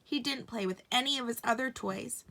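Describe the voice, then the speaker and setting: Bright-voiced woman reading a children's story. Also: fairly high pitch, brisk. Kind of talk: expressive bedtime story narration.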